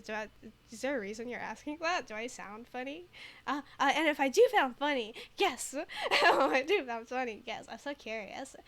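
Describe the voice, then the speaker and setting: A woman's voice: high-pitched, a bit bright. A giggly, rambling woman with a very slightly tinged American accent, a native Mandarin speaker, giving casual commentary as she streams a game. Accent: American with a very slight foreign tinge. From United States, moved there very young.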